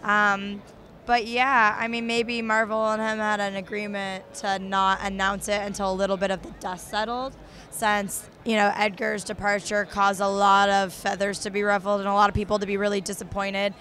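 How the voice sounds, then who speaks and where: A woman with a mid-range voice, hoarse and fading from long use. She talks about movies eagerly and fluently as a co-host, sprinkling in "you know" and "I mean". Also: medium pitch, flat delivery, normal speed, a bit bright. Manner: enthusiastic, fluent, many "you know" fillers. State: hoarse, losing her voice. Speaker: co-host of a movie news show. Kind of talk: casual chat about movies. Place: outdoors at a busy convention.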